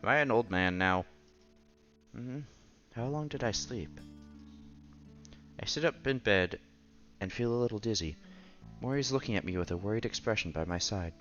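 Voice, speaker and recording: Casting: male game streamer, lowish voice, reading story text aloud with playful asides, slightly dull recording, faint music. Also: expressive, normal speed, pauses often. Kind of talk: read-aloud game narration with casual asides. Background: quiet game music.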